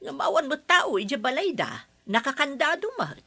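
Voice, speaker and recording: Brief: expressive daughter, bright lively female voice. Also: high-pitched, normal speed. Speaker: daughter in a family drama. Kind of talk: Ilocano radio drama acting.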